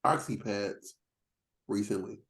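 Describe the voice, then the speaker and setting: A man's voice: on the low side, takes it slowly, creaky, crowd noise. A forty-year-old man chatting casually on a podcast, rambling and poking fun at himself. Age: 40s. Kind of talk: casual chat.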